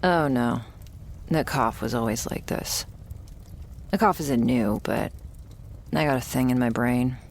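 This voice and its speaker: A woman playing a jaded, wry, terminally ill shopkeeper who serves a vampire, speaking in an intimate, deadpan ASMR roleplay monologue. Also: slight background noise, heavily acted. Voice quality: low, husky, gravelly. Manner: deadpan, sardonic, slow and pausing, swearing casually.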